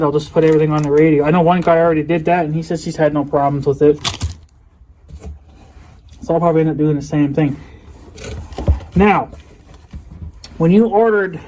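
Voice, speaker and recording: A man's voice: on the high side, a little mellow, poor audio. A man giving a casual, unscripted show-and-tell of a product in a rambling, folksy, colloquial way. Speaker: hobbyist video creator presenting a product unboxing. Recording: noticeable background noise with clicks.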